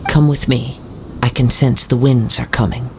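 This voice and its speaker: A young man voicing a calm, poetic wandering samurai, speaking in a measured way, in video game voice acting. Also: mid-range voice, slight background noise, close to an everyday voice. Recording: soft game music underneath.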